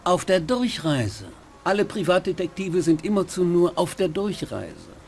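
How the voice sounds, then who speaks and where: An actor playing a smug, worldly nightclub owner in a dubbed detective game, with a condescending, drawling manner. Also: male voice, high voice, sing-song, measured pace, vocal fry, darkish tone, full character voice.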